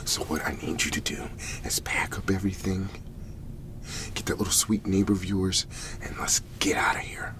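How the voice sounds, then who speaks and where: A man playing a weary, desperate cleanup agent in an ASMR roleplay, pleading in halting, breathy whispers and murmurs. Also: low voice. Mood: urgent, pleading.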